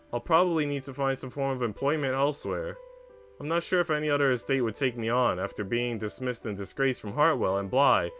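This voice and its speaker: A man's voice: mid-range voice, fairly bright tone, natural voice. A man reading the part of an anxious, modest young maid in a visual novel, in an earnest, hesitant manner.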